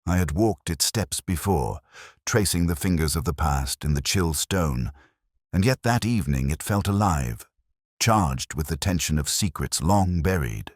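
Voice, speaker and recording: A man playing a brooding, methodical detective inspector, narrating a mystery in a measured, grave, literary manner. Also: low voice, lively intonation, no room sound, clean studio sound. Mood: ominous, guarded. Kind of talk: scripted first-person mystery narration.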